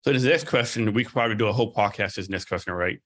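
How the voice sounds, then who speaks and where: A man hosts a podcast, chatting casually with his guest. He talks quickly in a fairly low voice, leaning on "like" and "right?" as he goes. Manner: relaxed, conversational, uses "like" and "right?". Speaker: podcast host. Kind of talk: casual interview chat.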